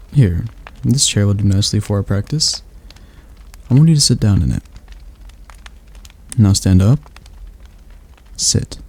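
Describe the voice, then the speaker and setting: A man voicing a patient male demon tutor who teaches magic in a calm, gently commanding ASMR roleplay. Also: on the low side, creaky, heavily acted.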